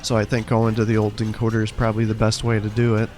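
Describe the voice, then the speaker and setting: A man's voice: on the low side, fast talker. Congested man explaining a strategy game. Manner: relaxed, explanatory, many fillers. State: congested, weak voice that cracks, getting over flu. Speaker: gaming channel host. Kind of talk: casual gameplay commentary. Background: loud game music.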